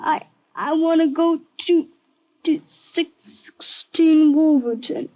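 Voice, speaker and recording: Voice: high voice, slow. A performer playing a frightened but defiant little runaway boy in a 1950s radio drama, pleading and stammering. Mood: scared, pleading. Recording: muffled old radio recording.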